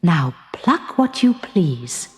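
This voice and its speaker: A woman voicing a gracious female green spirit of the meadows, measured and gently firm. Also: lowish voice, very animated, husky, big-room sound, full character voice.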